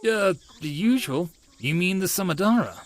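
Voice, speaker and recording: Man doing a timid, girlish high-voiced shrine boy. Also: animated, moderate pace. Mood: puzzled. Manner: hesitant, polite, flustered. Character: timid, gentle, girlish young male shrine helper. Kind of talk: anime visual novel character reading.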